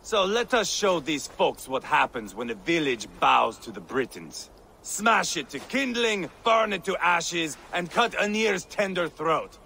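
An actor playing a fierce, boastful Viking warlord who relishes violence in a video game. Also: male voice, a little high, big pitch swings, a little slow, vocal fry, pushed and bright, slight background noise, full character voice. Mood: bloodthirsty. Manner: bold, bloodthirsty, mocking bravado. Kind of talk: video game cutscene acting.

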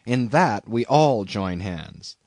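A man voicing a quick-witted young sailor in a storybook, earnest, cheeky and persuasive. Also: fairly low pitch, animated, darkish tone, no echo. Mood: earnest persuasion.

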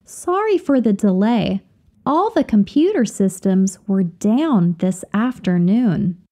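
A woman reading example sentences slowly and carefully, repeating each phrase for learners to copy. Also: mid-range voice, animated, mellow. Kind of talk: slow, clear drill reading of example phrases.

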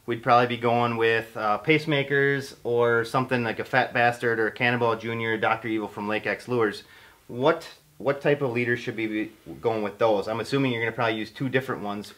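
A man's voice: mid-range voice, a bit bright. Casual man hosting a fishing interview. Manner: casual, listing lures, asking questions. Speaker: host of a fishing channel. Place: indoor studio in front of a banner.

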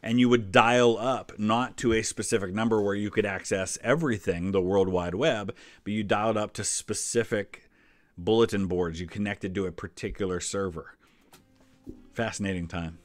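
A man's voice: lowish voice, steady tone, normal speed, dry recording, faint music behind. An American man in his forties giving casual, conversational commentary as a history channel host. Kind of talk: casual reaction commentary to a quiz.

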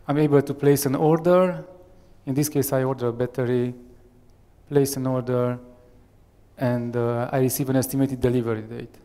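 Man, Romanian accent, halting speech with many uh's, mellow tone, reverberant conference hall. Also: medium pitch, steady tone. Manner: hesitant, many fillers and restarts. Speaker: software architect giving a demo. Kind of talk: live tech demo presentation. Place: large conference room with audience. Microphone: headset mic. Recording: long reverb of a large hall.

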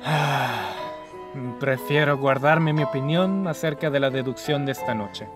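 A man voicing a reserved, sharp detective who speaks politely and formally. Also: mid-range voice, unhurried, music in the background, close to an everyday voice. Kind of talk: amateur radio drama acting.

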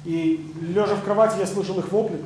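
A man's voice: high voice, fairly even intonation, quick pace. A young man working as a consecutive interpreter at a seminar, rendering a speaker's talk phrase by phrase. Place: seminar room with seated audience. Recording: very echoey room, noisy.

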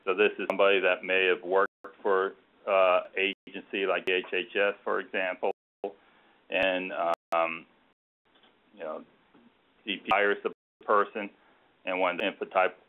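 A man presenting informally on a conference call, explaining procedures in a halting, hesitant way. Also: sits low, flat delivery. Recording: narrowband tinny phone line.

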